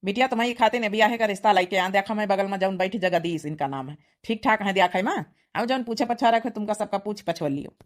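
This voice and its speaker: A woman's voice: mid-range voice, fast talker, natural voice. A woman voicing a practical village uncle playing matchmaker in a cartoon comedy, plain-spoken and reassuring, with an Awadhi accent. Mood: friendly, reassuring. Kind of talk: cartoon comedy dialect acting.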